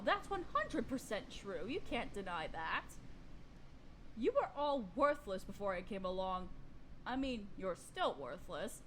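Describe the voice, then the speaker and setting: A woman's voice: sits high, a little hiss or hum, full character voice. A woman playing a vain, domineering evil sorceress queen in a fantasy audio roleplay, speaking in an imperious, theatrical drawl. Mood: exasperated, scornful.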